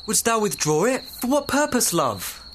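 A man's voice: high-pitched, big pitch swings, normal speed, fairly bright tone. Young man acting a lovestruck Shakespearean youth, noisy recording. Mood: yearning. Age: young adult. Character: ardent, lovestruck young nobleman. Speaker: student actor. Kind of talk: Shakespearean audio drama acting.

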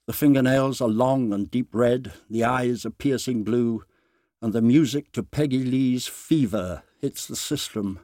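A man narrates a short story in a medium-pitched voice. His delivery is dramatised, deliberate and expressive. The recording is close and dry, with no audible room. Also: no echo. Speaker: story reader.

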